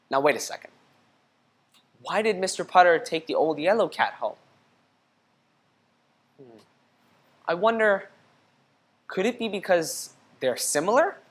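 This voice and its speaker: Low-voiced woman reading a children's story. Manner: teacherly, expressive, pausing to ask questions. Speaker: storybook reader. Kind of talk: children's read-aloud with questions to listeners.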